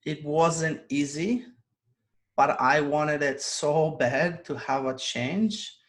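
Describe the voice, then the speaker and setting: A man's voice: fairly high pitch, slow pace, a bit bright. A man, a guest telling his story earnestly on a video call and laughing often. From Poland. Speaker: guest, online business coach. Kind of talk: casual interview over video call.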